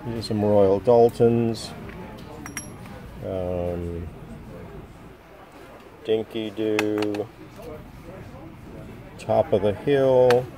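A man selling antiques, calmly describing each item and its price, with frequent pauses and ums, filmed on a phone at a show booth. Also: lowish voice, a crowd in the background. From United States. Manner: slow, matter-of-fact, pausing with ums. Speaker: antiques dealer. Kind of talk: walking sales tour of items. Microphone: phone camera held while filming. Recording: noisy show floor behind.

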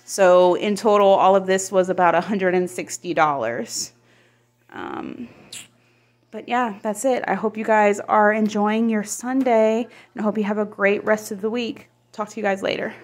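A woman, chatting casually through a grocery haul in a relaxed, friendly way with lots of ums. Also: medium pitch, moderate pace. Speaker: vlogger showing a grocery haul. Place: home kitchen table indoors. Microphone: phone camera pointed at table.

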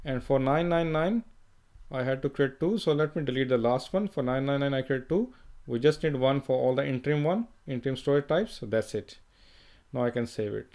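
A man talks through a software tutorial at an unhurried pace, thinking aloud and restarting his sentences as he goes. His voice sits at a medium pitch, and keyboard typing clicks in the background. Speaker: software trainer. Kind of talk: screen-recorded tutorial walkthrough.

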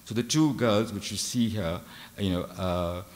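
A man giving an unscripted art history lecture from a lectern, talking in a halting way with many fillers and restarts. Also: fairly low pitch. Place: large dark lecture hall. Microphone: lectern microphone. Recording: long hall reverb.

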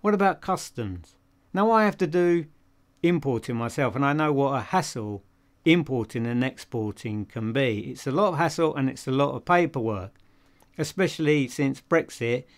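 A man's voice: medium pitch, animated, moderate pace. An older man with a British accent giving a casual product review to camera in a conversational, emphatic way, posing questions and answering them himself. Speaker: audio dealer and video reviewer. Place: small home office room, indoors.

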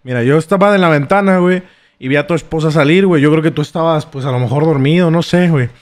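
High-pitched young Mexican man, stammering. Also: fast. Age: young adult. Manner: stammering, slangy. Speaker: prank-call streamer. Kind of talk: casual prank call banter. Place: small streaming room indoors. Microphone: close boom-arm microphone.

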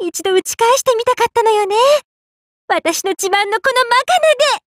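A woman's voice: high-pitched, unhurried, no echo, studio quality. A woman voicing a tall, affectionate big-sisterly sun goddess in an anime game, swinging between bubbly and tender. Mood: excited, playful. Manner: swings between excited exclamations and gentle, tender speech. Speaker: game character. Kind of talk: Japanese anime game voice acting.

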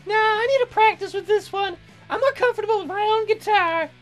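A nervous young woman from a dating game, acted out by a man, pitched very high. She is awkward and hesitant, stumbling softly into what she means to say. Music plays behind her. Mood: shy. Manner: hesitant, halting. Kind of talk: visual novel character read-aloud.

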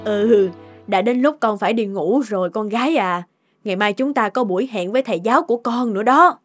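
A woman voicing a gentle, encouraging cartoon mother in a soothing, affectionate, expressive manner, with music behind her. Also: mid-range voice, brisk, close to an everyday voice. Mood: tender, reassuring. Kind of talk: cartoon dubbing.

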